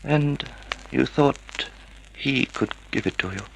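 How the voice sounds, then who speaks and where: An actor playing a wounded, frail semi-invalid husband in an old-time radio drama, halting and pleading. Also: male voice, medium pitch, vocal fry. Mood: hurt. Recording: noisy vintage radio recording.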